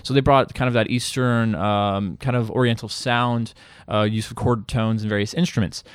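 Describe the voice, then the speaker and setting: A man's voice: lowish voice, steady tone, moderate pace, clean tone, no room sound. Jokey, digressive man in casual chat, closely recorded. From Bosnia. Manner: jokey, digressive, crude slang. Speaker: podcast guest, musician. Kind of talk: casual chat, explaining at length.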